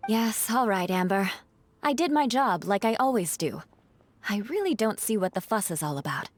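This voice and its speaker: A woman's voice: mid-range voice, very animated, normal speed, soft background music. A woman playing a proud, composed young female knight captain in an anime game, formal and matter-of-fact with a slightly haughty edge. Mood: brisk, dismissive.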